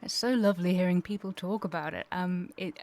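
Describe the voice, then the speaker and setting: A woman's voice: fairly low pitch, a little fast, darkish tone. A woman speaking reflectively as a panel guest, building her thought up in repeated phrases. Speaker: interview guest. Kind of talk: conversational, reflective panel discussion.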